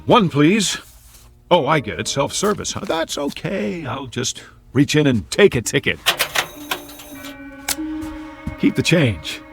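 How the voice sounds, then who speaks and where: An American actor in a radio drama playing a confused, lonely amnesiac Air Force man who talks to himself in halting, stammering repeats. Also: on the high side, wildly swooping pitch, creaky, music in the background, full character voice. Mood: wry, lonely. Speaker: lead actor. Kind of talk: dramatic radio play acting.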